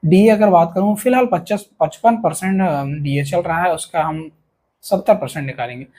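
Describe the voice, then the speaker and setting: Indian man, high-pitched, mellow, informal explainer, instructive presenter, frequent check-in tags. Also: normal speed. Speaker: video presenter explaining police pay. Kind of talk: informal explainer addressed to viewers.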